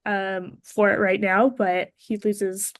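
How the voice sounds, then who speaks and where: A woman in casual conversation as a podcast panelist, discussing entertainment in a relaxed chat style. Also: medium pitch, slow, no echo, studio quality.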